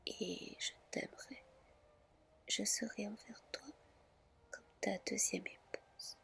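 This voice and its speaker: A woman voicing a shrewd, scheming goddess in a soft, measured, persuasive ASMR storybook reading. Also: medium pitch, fairly even intonation, creaky, full character voice. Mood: promising.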